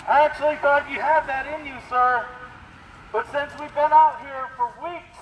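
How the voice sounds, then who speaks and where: An American man giving a confrontational protest speech through a bullhorn, halting mid-phrase as he challenges an official. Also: extremely high pitch, fairly even intonation, a bit bright. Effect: bullhorn amplification. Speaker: protest activist challenging the governor. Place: outdoors at an official's doorstep. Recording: echoey, reverberant noisy outdoor bullhorn recording.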